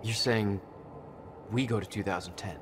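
An actor playing a skeptical, practical action hero in a cinematic video game, speaking in a casual, understated way with a gravelly voice. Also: male voice, fairly low pitch, normal speed, natural voice. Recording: clear ambient noise behind the voice.

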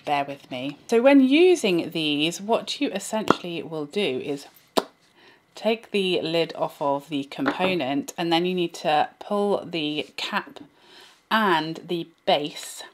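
A woman in her thirties speaks in a somewhat low voice, a little hoarse from a cold. She chats eagerly and directly to her viewers, as if sitting across from them in a bright room at home. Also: expressive, slow pace, background noise. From England. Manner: chatty, enthusiastic, talks to viewers directly. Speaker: beauty vlogger. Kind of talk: casual product review.

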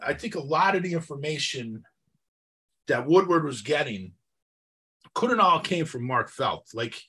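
Husky, animated man questioning his co-host. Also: on the high side, a little slow. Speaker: podcast co-host. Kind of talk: conversational history discussion.

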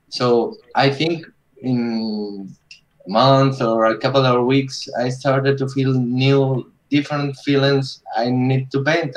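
A man's voice: mid-range voice, poor audio. A man in his thirties, a digital painter on a video call panel, speaking earnestly and reflectively with nonnative phrasing. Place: home room with bookshelves.

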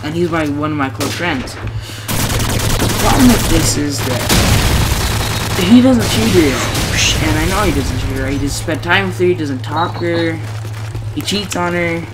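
A man's voice: on the high side, unhurried, pauses often, heavy background noise. A young man telling a casual personal story as co-host of a gaming video, with slangy, swearing talk. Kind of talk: casual story time over gameplay. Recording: loud music and gunfire over voice. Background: loud music and game gunfire.

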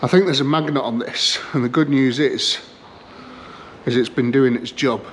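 Bloke talking to himself fixing an old car, middle-pitched voice, roomy garage echo, bit of hiss. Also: male voice, moderate pace. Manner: chatty, relaxed, talks to himself while working. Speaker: classic car restoration vlogger. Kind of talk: casual workshop vlog. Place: garage or barn workshop.

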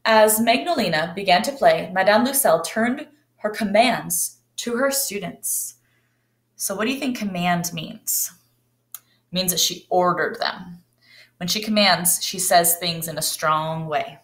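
A woman's voice: on the low side, unhurried. A woman, reading a picture book aloud and putting questions to children in a teacherly way. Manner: expressive, teacherly. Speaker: read-aloud storyteller. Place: kitchen or dining area at home, indoors. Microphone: laptop or webcam at arm's length. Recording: roomy home webcam sound.

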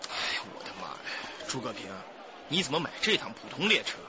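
An actor playing a young, pampered, grumbling junior police detective in a Chinese radio drama, with a husky voice. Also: male voice, mid-range voice, big pitch swings, a little fast, sharp tone, highs cut off. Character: young male police detective, spoiled, complaining junior partner.

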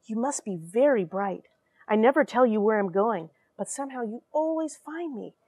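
A woman in her forties reading and explaining a story to children in an animated, questioning way from a small airlock. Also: on the high side, normal speed, breathy edge, no room sound. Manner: animated, expressive, addresses young listeners with questions. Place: small cramped metal space station airlock.

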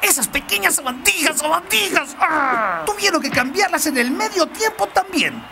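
An actor voicing a cocky, animated young male football player in a cartoon. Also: very high-pitched, moderate pace, creaky, over music, heavily acted. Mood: indignant. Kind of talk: cartoon dubbing.

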